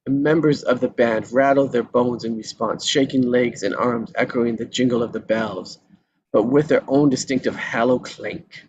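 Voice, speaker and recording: A man's voice: medium pitch, steady tone, normal speed, husky, highs cut off. A man reading stage directions as scripted narration at a live table read. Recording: faint background noise.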